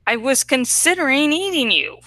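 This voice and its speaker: A woman's voice: sits high, lively intonation, normal speed, bright, forceful tone, no echo, clean studio sound, voice pushed up. A woman voicing a grumpy, wry teenage boy trapped as a hawk in a story reading. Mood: grumpy, startled.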